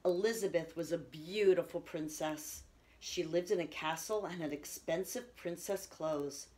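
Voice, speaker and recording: A middle-aged woman reading a children's picture book aloud with expressive storytelling delivery. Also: lowish voice, unhurried. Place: small room at home, indoors.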